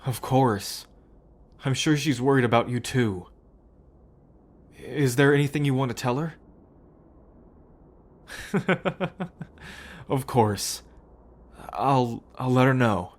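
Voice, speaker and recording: Slow, heartfelt remorseful brother acted by a man. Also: mid-range voice. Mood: determined, affectionate. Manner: hesitant, sighing, heartfelt. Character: remorseful, affectionate brother on the run. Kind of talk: ASMR roleplay acting.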